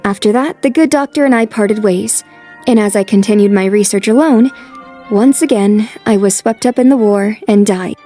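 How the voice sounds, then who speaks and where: A woman's voice: mid-range voice, normal speed, mellow, faint music behind, close to an everyday voice. A woman playing a composed, determined young noblewoman in an anime dub, speaking politely and reflectively. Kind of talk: anime dub acting with inner narration.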